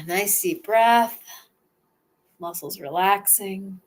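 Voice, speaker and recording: Woman talking low and soft thru a calming body exercise, slow, sighing now and then. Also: slight background noise, low-quality recording. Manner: slow, soft, first-person self-guiding with sighs. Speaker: somatic exercise guide. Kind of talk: guided relaxation exercise.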